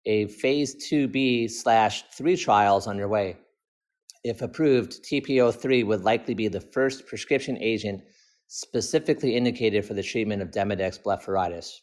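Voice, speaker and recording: A man's voice: fairly low pitch, normal speed, darkish tone. A man, an optometrist, reads his own clinical article as formal scripted narration.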